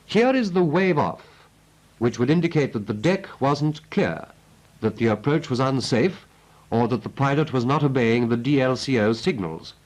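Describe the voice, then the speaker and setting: A man's voice: medium pitch, expressive, normal speed, a little mellow, low-quality recording. A British man narrating a 1940s military training film as an instructor, in a clipped, methodical and instructive manner.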